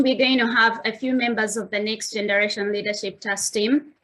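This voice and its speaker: A woman's voice: on the high side, fairly bright tone, poor audio. A woman hosting and opening a formal online launch event with a welcome speech. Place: online video meeting.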